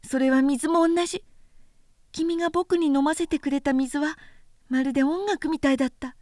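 Woman voicing a high, gentle little prince. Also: steady tone, normal speed, dry recording, clean studio sound, lightly acted. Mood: tender, earnest. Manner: soft, gentle, childlike phrasing. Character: small boy prince, gentle, wistful, tender. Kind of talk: storybook character voice in a literary recitation.

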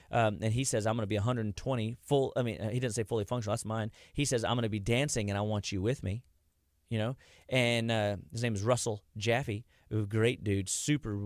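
A man's voice: mid-range voice, dry recording, clean studio sound. A man, giving a rambling, folksy talk about health as a wellness clinic host. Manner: rambling, folksy, loses his train of thought.

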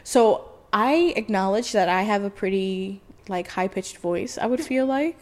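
A young woman with a high-pitched, nasal voice, co-hosting a podcast and telling a story in casual chat. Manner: fast, animated, quotes people in dialogue. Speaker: podcast co-host.

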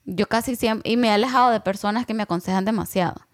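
A woman's voice: medium pitch, quick pace. A young adult woman musing aloud in casual conversation, rambling with lots of fillers and slipping in English words.

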